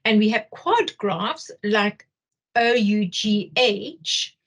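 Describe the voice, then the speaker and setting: A middle-aged woman with a Zimbabwean accent explaining material as a workshop trainer, haltingly, often repeating phrases for emphasis. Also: medium pitch, expressive, breathy edge. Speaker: teacher trainer leading a workshop. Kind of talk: explanatory lecture.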